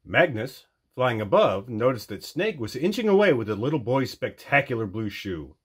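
Animated, husky male storytime reader. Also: mid-range voice, normal speed. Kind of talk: animated children's storybook narration.

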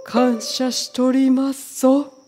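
A woman's voice: sits high, flat delivery, slow, over music. A woman voicing a regal old king in a storybook, with stately, old-fashioned speech. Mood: wistful, formal. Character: old canary king, male, regal, fond of treasure.

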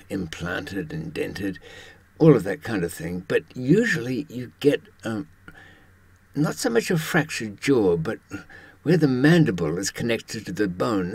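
A man in his seventies with a husky voice, presenting a conversational monologue in a wry storytelling manner with rhetorical questions. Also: fairly low pitch, dry recording. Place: indoors, in front of a green screen.

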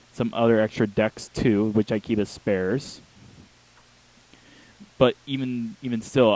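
A young man chatting casually in a halting, rambling way, correcting himself as he goes, into a handheld mic held close. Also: fairly low pitch, mellow, rough recording. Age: young adult. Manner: halting, self-correcting delivery. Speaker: talk show host. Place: small home bedroom, indoors. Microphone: handheld wireless microphone held close to the mouth.

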